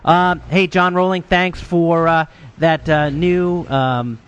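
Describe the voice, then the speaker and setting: A man's voice: a little high, steady tone, slow pace, a bit bright, smooth. A man with a New York accent and a nasal voice, co-hosting a tech podcast in loose, chatty talk full of fillers. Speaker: podcast co-host. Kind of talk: casual tech podcast chat.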